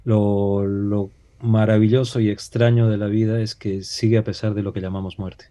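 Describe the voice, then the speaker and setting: A man's voice: lowish voice, little pitch movement, moderate pace, dark tone. A man from Peru with a Peruvian Spanish accent, a talk-show guest speaking in a reflective way with many hesitations and rhetorical questions. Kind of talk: casual talk-show discussion.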